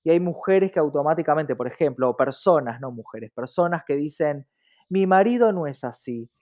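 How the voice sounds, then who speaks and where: A woman's voice: sits low, expressive, normal speed, warm tone, lo-fi sound. A woman with an Argentine accent explaining things conversationally as an interview guest, reflective, often checking in with rhetorical questions.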